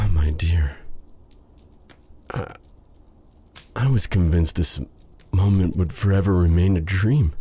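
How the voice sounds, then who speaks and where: Guy doing a tender exiled sorcerer for asmr fantasy roleplay, slow husky voice that swings up and down, stammery, old-timey formal, breathy. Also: lowish voice, mellow, full character voice. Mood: adoring, awed. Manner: flustered, stammering, halting, formal old-fashioned phrasing. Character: exiled dark sorcerer, male, lovestruck, tender, self-doubting. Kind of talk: romantic fantasy audio roleplay, intimate ASMR.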